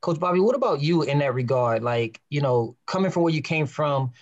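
A man hosting a podcast, asking guests questions in a warm, filler-heavy casual style. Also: a little high, expressive, a little fast, dry recording, highs cut off. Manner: encouraging, peppered with fillers. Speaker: podcast host.

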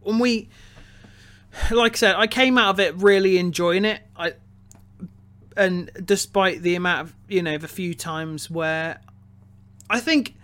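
A man co-hosting a podcast, chatting casually and analytically about films in a halting, searching way. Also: sits high, fairly bright tone, clean tone. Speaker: podcast co-host reviewing films. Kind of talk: casual analytical review chat.

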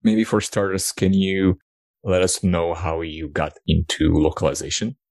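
A man with a very deep voice hosts a podcast and asks his guest questions in a friendly, curious way. His voice sounds close and dry, with no room sound. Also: level tone, a little slow, darkish tone, dry recording. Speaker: podcast host. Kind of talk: interview hosting.

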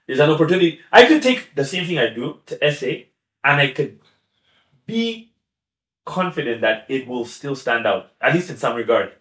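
A man's voice: mid-range voice, very animated, normal speed, a bit bright. A man speaking as a podcast guest, chatty and laughing often, with restarts and asides. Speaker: guest YouTuber. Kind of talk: casual podcast conversation. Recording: echoey room.

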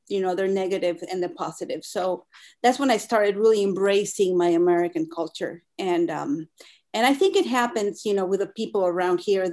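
A woman in her fifties who grew up in Mexico speaks with a Mexican Spanish accent, Spanish being her first language. Her pitch sits in the middle range. She talks in a reflective, meandering way, leaning on fillers and restarting her phrases. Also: darkish tone. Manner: thoughtful, many fillers and restarts. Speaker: artist guest. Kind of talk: conversational interview.

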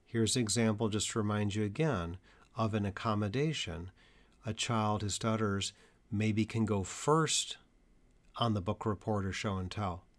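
A man with a fairly low voice explains his points slowly and carefully to parents and teachers. He speaks as a therapist, leaning on deliberate pauses to stress key ideas. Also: a bit bright. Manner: measured, deliberate delivery with emphatic pauses. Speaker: stuttering therapist. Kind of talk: educational talk to parents and teachers.

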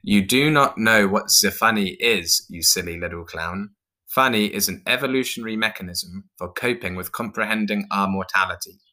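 Man doing a loud, pompous bearded Viking, forceful. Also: normal speed. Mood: lofty scorn. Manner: booming, lofty declarations.

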